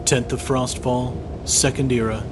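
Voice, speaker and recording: A man playing a weary, guilt-ridden young imperial soldier in a fantasy audio drama, reading his journal in a measured, reflective way over music. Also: medium pitch, steady tone, heavy background noise, lightly acted. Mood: uncertain, pondering.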